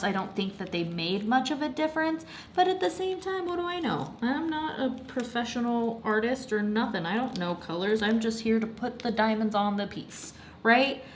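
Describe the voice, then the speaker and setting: A woman's voice: medium pitch, a little hiss or hum. Chatty woman, a bit congested. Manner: chatty and rambling, with many fillers. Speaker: craft vlogger. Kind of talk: casual chat.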